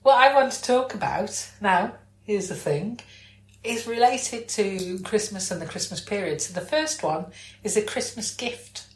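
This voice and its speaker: A woman's voice: mid-range voice, expressive, measured pace. A woman talking in a casual, chatty and informal way, often searching for words. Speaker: podcast host. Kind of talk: casual chat. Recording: echoey room.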